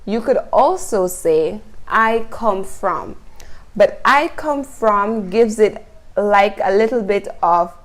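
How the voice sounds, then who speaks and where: A woman from Jamaica, teaching an online English lesson and modeling each phrase slowly and carefully, checking in with "Good?". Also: mid-range voice, expressive.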